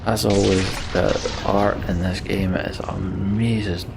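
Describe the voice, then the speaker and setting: A man's voice: sits low, flat delivery, takes it slowly, gravelly, background noise. A man doing a let's play, reading game text aloud and adding informal, enthusiastic asides. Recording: game music behind the voice. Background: game music.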